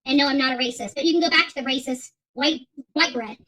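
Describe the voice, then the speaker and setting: High, bright-voiced combative woman. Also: fast talker. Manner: combative, emphatic, repeats phrases. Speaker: host of an online commentary channel. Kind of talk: unscripted rant to an audience.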